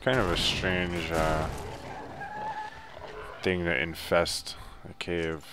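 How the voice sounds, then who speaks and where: A man's voice: deep voice, little pitch movement, slow pace, noisy background. A man giving a laid-back, offhand, unscripted walkthrough commentary as he plays through a video game. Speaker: gameplay guide narrator.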